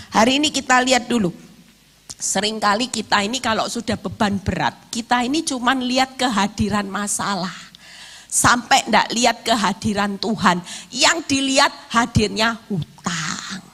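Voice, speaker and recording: A middle-aged woman with an East Javanese Indonesian accent preaching a sermon emphatically, her voice slightly hoarse. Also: sits high, sharp tone. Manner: emphatic, with rhetorical questions and acted-out quotes. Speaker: evangelist, guest preacher.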